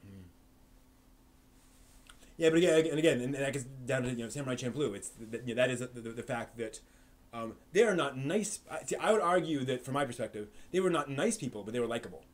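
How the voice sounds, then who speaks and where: A man's voice: medium pitch, expressive, fairly quick. A man hosting a live stream, chatting casually and answering questions from the chat, rambling with lots of fillers and restarts.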